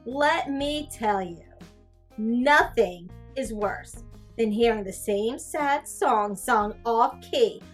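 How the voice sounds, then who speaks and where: A woman reading a storybook aloud as a sassy, easily grossed-out young female cartoon character, with exaggerated, drawn-out disdain. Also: on the high side, soft background music. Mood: disdainful, complaining. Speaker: teacher reading a picture book aloud. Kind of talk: animated children's storybook read-aloud.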